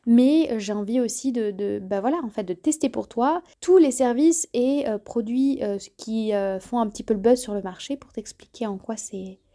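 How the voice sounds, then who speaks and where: A woman's voice: fairly high pitch, moderate pace, hardly any pauses. A woman in her early thirties hosting her podcast, speaking straight to the listener and sometimes correcting herself mid-sentence. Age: 30s. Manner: warm, addresses listener directly, self-correcting. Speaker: podcast host. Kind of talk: solo podcast trailer monologue.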